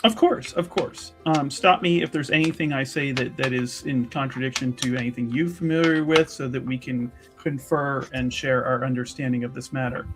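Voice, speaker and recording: A man's voice: medium pitch, steady tone, moderate pace, few pauses, over music, lightly acted. A man playing a polite, diplomatic female investigator in a tabletop roleplaying game, speaking in careful, formal, hedging phrases.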